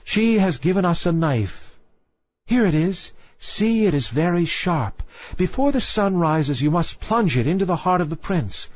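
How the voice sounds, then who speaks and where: A man's voice: high voice, animated, a little slow, dry recording, lo-fi sound, voice pushed up. A man voicing mournful young mermaid sisters, pleading urgently in a storybook reading. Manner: urgent, imploring. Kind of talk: storybook narration dialogue.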